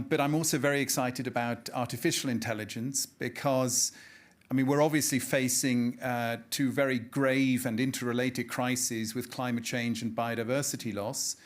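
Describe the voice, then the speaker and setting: A middle-aged man, a nonprofit chief executive, introducing his views on a panel. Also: medium pitch. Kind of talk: spoken self-introduction.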